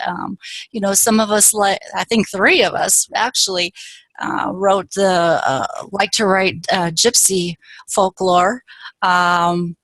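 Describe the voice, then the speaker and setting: A woman from northern Wisconsin hosting an online author panel, talking in a halting way with many fillers. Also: medium pitch, a bit bright, no echo. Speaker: panel host. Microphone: video call.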